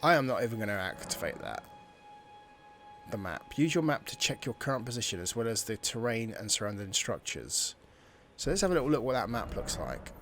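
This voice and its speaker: A man's voice: mid-range voice, very animated, fairly quick, fairly bright tone, slight background noise. A man hosting a gameplay video, thinking aloud in informal, casual commentary.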